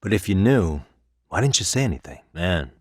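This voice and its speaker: A cool, principled investigator from a video game. The voice is very low-pitched and recorded dry and close, with no room sound. The delivery is casual and earnest, with an easygoing confidence. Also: male voice, big pitch swings, moderate pace, no room sound. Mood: warm, curious. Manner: casual, self-assured, earnest. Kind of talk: English video game voice acting.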